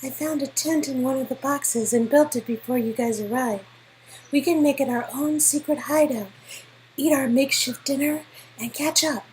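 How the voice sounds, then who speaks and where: A woman's voice: sits high, moderate pace, darkish tone, a little hiss or hum, poor audio. A woman performing dialogue from an interactive story game in a playful dramatic reading.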